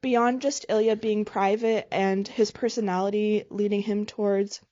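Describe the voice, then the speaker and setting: Quick earnest woman, slightly muffled audio. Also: mid-range voice, flat delivery, warm tone. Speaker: podcast co-host. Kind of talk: casual podcast chat.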